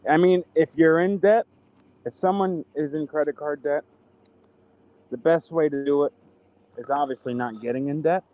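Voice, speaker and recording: A man's voice: fairly high pitch, moderate pace, long pauses, dull audio. A man co-hosting a casual livestream, joking around and swearing casually as he talks. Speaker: livestream co-host.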